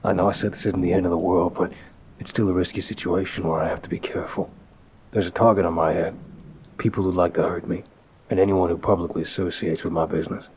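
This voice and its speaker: A man playing a soft-spoken, apologetic mafia boss boyfriend in an intimate ASMR roleplay, hesitant and gentle, with a low, husky, breathy voice. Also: fairly even intonation, a little hiss or hum, close to an everyday voice. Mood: grave, cautious. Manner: hesitant, gentle, sighing pauses. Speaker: audio roleplay performer. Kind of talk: ASMR audio roleplay, one-sided intimate phone call.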